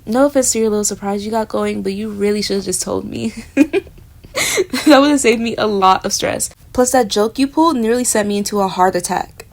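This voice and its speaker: A young woman voicing a flustered, jealous roommate in a romantic audio roleplay, talking straight to the listener and trailing off into soft murmurs. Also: mid-range voice, fairly quick, natural voice. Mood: giddy.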